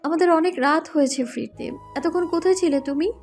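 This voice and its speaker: Demure, polite young bride, played by a woman, very high soft voice, romantic audio drama. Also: fairly quick, faint music behind. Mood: curious. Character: shy, polite young wife.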